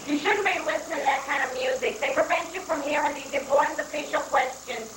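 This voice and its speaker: A woman's voice: high voice, quick pace, close to an everyday voice. A woman playing an impatient, officious customs official, curt and scolding, in a comic stage reading. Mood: insistent. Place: echoey room, mic at a distance. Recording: noisy 1980s live recording, echoey, distant mic.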